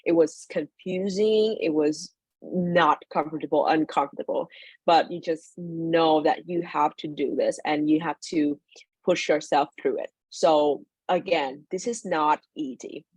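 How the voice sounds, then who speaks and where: A young woman with a low, slightly husky voice talks casually on a video call, full of fillers and restarts. The sound is dry and close, with no room around it. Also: steady tone, unhurried, a little mellow, no echo, low-quality recording. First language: Vietnamese. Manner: loose and chatty, frequent fillers and self-corrections. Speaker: webinar speaker, former student in Finland. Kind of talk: informal personal talk to an online audience.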